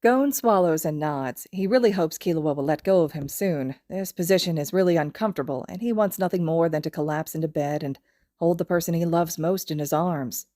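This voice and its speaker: A woman's voice: sits low, brisk, mellow, no room sound, studio quality. A woman reading a story aloud in scripted narration. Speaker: podfic reader.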